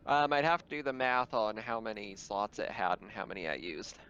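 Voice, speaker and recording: A man's voice: fairly low pitch, a little fast, sharp tone, dull audio, a little hiss or hum. A man chatting casually as game master of a tabletop roleplaying game.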